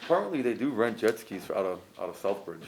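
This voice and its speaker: A man speaking at a town commission meeting, halting and repeating words as he reports. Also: on the low side, big pitch swings, slight background noise. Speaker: commission member. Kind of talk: meeting discussion.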